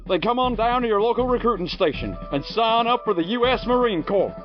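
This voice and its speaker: A man's voice: very high voice, lively intonation, fairly quick. A man playing a zealous, patriotic wartime radio announcer with emphatic, theatrical old-time delivery. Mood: urgent, rousing. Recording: dull, muffled radio-drama audio, faint music.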